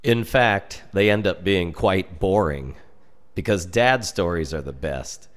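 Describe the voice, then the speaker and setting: An American man reading a darkly humorous story aloud in an expressive, measured and dramatic storytelling manner. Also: low voice. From United States. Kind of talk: expressive scripted narration of a humorous dark tale.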